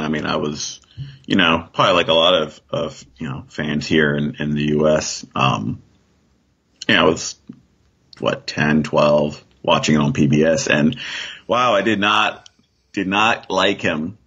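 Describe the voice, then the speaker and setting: A middle-aged American man chats as a podcast guest in a low, husky voice. He is informal and halting, often repeating himself. The audio is dull and lo-fi. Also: sharp tone. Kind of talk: casual chat.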